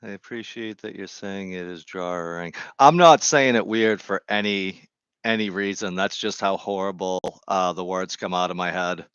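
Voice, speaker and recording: A man's voice: low-pitched, normal speed, a bit bright, clean tone, no echo, lo-fi sound. A man with a New England accent chatting casually and rambling off on tangents while he gardens. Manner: chatty, digressive, self-deprecating. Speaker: gardening streamer.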